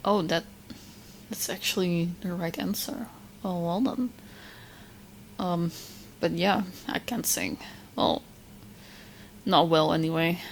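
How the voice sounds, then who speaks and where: A woman playing a sweet, obsessed young witch girlfriend in an ASMR roleplay, teasing and smug with coy, halting asides. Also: on the low side, vocal fry, slight background noise, poor audio. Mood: flustered.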